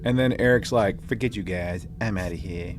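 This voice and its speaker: A man's voice: low-pitched, normal speed. A man hosting a podcast with casual banter in a joking, slangy manner. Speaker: podcast host.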